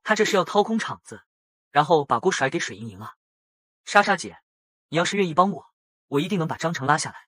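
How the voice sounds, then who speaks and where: A man's voice: on the high side, animated, quick pace, pauses often, a bit bright, no echo. A man voicing a defiant, hot-headed young working-class hero in an audio comic drama. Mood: indignant.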